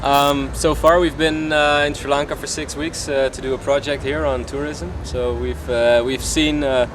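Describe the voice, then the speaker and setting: A man's voice: medium pitch, little pitch movement, moderate pace, noisy background. Hesitant young man from the Netherlands. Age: young adult. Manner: hesitant, many fillers. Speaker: international intern. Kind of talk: casual vlog interview.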